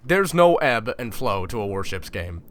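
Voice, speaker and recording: A man's voice: fairly low pitch, very animated, normal speed, noisy background. Blunt, cussing gaming YouTuber guy. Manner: blunt, profane, conversational, with stumbling repeats. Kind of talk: casual ranting commentary.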